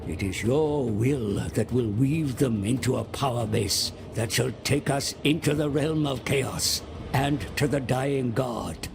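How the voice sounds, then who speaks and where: Gravelly, unhurried scheming advisor. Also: male voice, medium pitch, background noise. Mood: coaxing, portentous. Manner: measured, portentous, coaxing. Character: scheming, servile mortal advisor to daemon lords. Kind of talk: dramatic scripted game cutscene narration.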